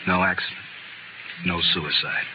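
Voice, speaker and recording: An actor playing a wry, cool and dangerous gunfighter in a radio western, with a laconic way of talking. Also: male voice, deep voice, steady tone, normal speed, close to an everyday voice. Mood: grim. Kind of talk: old-time radio western acting. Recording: muffled, noisy old radio recording.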